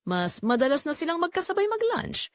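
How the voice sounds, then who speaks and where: Filipino woman, dramatic story narrator, very lively intonation, measured phrasing with pauses, close dry sound. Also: sits high, no room sound.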